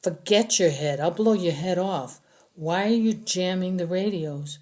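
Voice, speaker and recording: A man's voice: high-pitched, measured pace, a little mellow. A narrator voicing a tough, threatening male soldier who interrogates in clipped demands, in an audiobook. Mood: commanding. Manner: gruff, demanding, clipped commands. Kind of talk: audiobook character acting.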